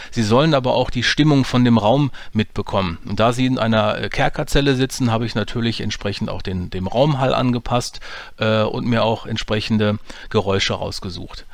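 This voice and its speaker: A man walking through his dialogue editing in a relaxed, explanatory way, with many fillers. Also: medium pitch, no echo. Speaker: audio drama producer teaching editing. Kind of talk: screen-recorded software tutorial.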